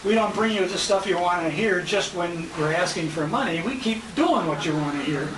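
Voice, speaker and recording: A man's voice: high-pitched, expressive, a little fast, recorded from a distance. An American man speaking informally as emcee of a tribute dinner, telling anecdotes with quoted conversations. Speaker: emcee and radio show host. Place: restaurant banquet room. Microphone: handheld compact camera at a distance. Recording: echoey, noisy room recorded on handheld camera.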